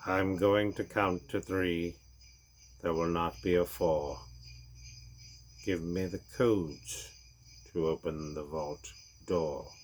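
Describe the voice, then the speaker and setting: A man reading a storybook, voicing a cold, menacing male terrorist leader in a slow, measured, threatening way. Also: sits low, fairly even intonation, slight background noise, pitched below the performer's own voice. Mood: cold menace.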